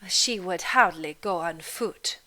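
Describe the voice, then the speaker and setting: Woman reading dignified young woman, soft and slow, bright tone. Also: lowish voice, low-quality recording. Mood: cool. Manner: quiet, restrained, soft-spoken. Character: proud, composed young woman, guarded and dignified.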